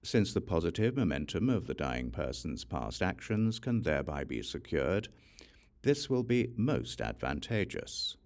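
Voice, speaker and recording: A man reads a scripted religious text as an audiobook narrator. His voice sits low, with a creaky, gravelly edge. The delivery is formal and measured, yet the intonation moves widely up and down. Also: very animated, darkish tone.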